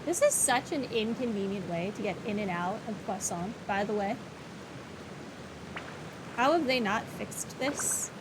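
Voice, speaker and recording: A woman's voice: medium pitch, expressive, a little slow, long pauses, noisy background. A woman giving casual, chatty and wry commentary as she plays a video game. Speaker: gaming streamer.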